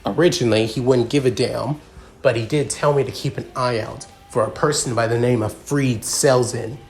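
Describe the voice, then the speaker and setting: A cold young swordsman from a story, voiced by a man, speaking at an ordinary male pitch over music. He is calm and matter-of-fact, with menace in his words. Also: natural voice.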